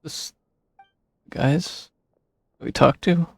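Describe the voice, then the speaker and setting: Male gameplay commentator: husky, very creaky, dry close recording, offhand casual remarks. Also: fairly high pitch, extremely slow, no echo. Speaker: gamer playing and reacting.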